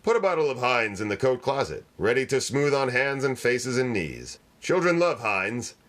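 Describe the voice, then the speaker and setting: A man playing a 1940s radio announcer, reading scripted copy in a measured, salesmanlike way. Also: medium pitch, big pitch swings, fairly bright tone. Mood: reassuring pitch. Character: smooth-selling male 1940s radio announcer. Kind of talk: scripted radio advertisement read.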